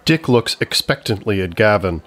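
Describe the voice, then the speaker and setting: A man's voice: lowish voice, lively intonation, moderate pace, a bit bright. A man reading scripted narration for a comedy story, as its narrator.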